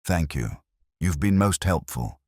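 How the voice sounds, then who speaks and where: A man voicing a brisk Victorian detective in a terse, formal, probing way for a storybook. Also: low voice, expressive, darkish tone, no room sound, studio quality. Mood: probing, intent.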